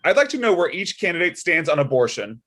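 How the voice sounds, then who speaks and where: A man's voice: on the high side, big pitch swings, quick pace, bright, forceful tone, exaggerated voice. A man playing a blunt, stubborn, unbothered father of a political candidate in a comedic table read.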